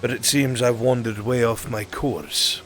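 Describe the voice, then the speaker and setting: A man playing a formal, blunt travelling government recruiter in an online roleplay, measured and faintly condescending. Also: medium pitch, fairly even intonation, a bit bright, a little hiss or hum, lightly acted. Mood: assertive. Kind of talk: improvised roleplay acting in a virtual world. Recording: music behind.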